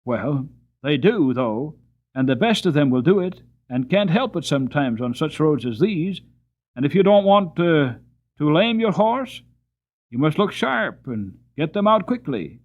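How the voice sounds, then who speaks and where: Storybook farmer, polite but stern, as a male reader puts him on, husky, tinny sound. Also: on the high side, sing-song, a little slow, voice pushed up. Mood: firm. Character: kindly, firm middle-aged male farmer. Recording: tinny phone-like audio.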